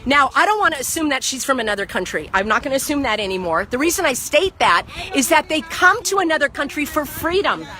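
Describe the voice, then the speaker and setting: A woman with a high, bright voice talks with fervor into a phone held in her own hand. She lectures and repeats her points over and over. She is outdoors in a parking lot. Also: very animated, fast, hardly any pauses, a little hiss or hum. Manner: impassioned, lecturing, repeats herself. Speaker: vlogger. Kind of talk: outdoor phone vlog, heated argument. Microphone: phone held by the speaker.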